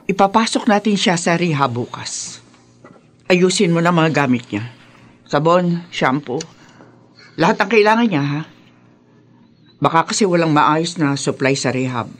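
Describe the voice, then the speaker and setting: An actress playing a stern, sharp-tongued but devoted older mother in a radio drama, with a Filipino accent and a blunt, admonishing manner. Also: sits low, animated, unhurried, pauses often. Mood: brisk, practical.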